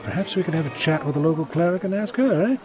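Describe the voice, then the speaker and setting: A man plays a wry, talkative time-travelling adventurer in an audio drama. His voice is fairly high and very animated, flippant and inquisitive, over faint music. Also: quick pace, natural voice. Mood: curious, wry.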